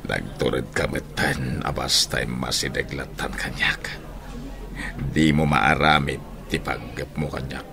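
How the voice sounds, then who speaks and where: An actor playing a wary, proud military general in an Ilocano radio drama, with a gravelly voice. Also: male voice, very deep voice, fairly even intonation, measured pace, exaggerated voice. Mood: defiant. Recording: noisy AM radio drama audio.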